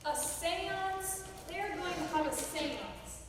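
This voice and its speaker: A blunt, doubting housekeeper with no patience for ghost talk, played by an actress, high-voiced. Heard from far back in an echoing hall with clear hiss. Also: female voice, slow. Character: no-nonsense housekeeper. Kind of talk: amateur stage acting. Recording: echoey hall from far off, noisy.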